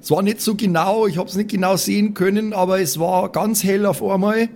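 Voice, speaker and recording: Man putting on an eager high-voiced schoolboy. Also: steady tone, a little fast, a little mellow. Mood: eager, earnest. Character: Bernd, eager, diligent little schoolboy.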